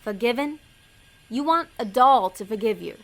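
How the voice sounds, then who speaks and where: A woman playing a tough-talking, sarcastic demon hustler in an audio comedy drama, deadpan and streetwise. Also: on the high side, normal speed. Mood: brisk, tough.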